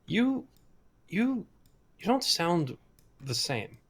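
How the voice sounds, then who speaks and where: Man, tabletop player, hesitant casual talk, animated pitch. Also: very slow. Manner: hesitant, giggly. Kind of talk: casual chat.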